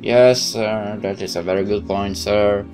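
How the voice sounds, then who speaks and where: A man's voice: lowish voice, steady tone, a little slow, a little mellow, soft background music, natural voice. A man voicing a young, naive, eager soldier in a visual novel, earnest and obedient in manner. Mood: deferential.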